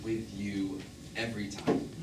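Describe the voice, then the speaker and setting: A man's voice: lowish voice, little pitch movement, measured pace, lightly acted. Actor as defensive middle-aged man, echoey and distant. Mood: defensive, protesting. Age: 50s. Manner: curt, defensive, dismissive. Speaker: stage actor. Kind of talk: live stage drama acting. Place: small theatre, recorded from the audience. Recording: echoey, noisy stage recording from far off.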